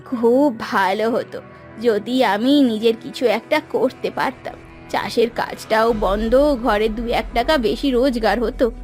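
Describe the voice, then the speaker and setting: A woman voicing a hardworking, hopeful village housewife in a cartoon, earnest and soft-spoken. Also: a little high, unhurried, soft background music, pitched below the performer's own voice, lightly acted. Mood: wistful.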